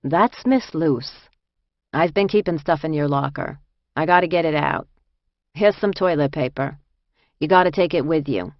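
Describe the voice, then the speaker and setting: A woman's voice: low-pitched, normal speed, no room sound, highs cut off. A woman voicing a tired, helpful fifty-ish female inmate with a New Jersey accent, matter-of-fact, in audiobook dialogue. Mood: plain, helpful.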